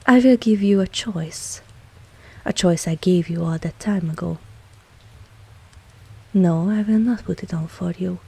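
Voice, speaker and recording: Female actor as dominant vampire mistress, very breathy husky voice, lowish pitch, mellow dark tone, whispery, possessive, teasing, intimate audio roleplay. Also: exaggerated voice. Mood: stern, teasing. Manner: slow, deliberate, commanding, teasing.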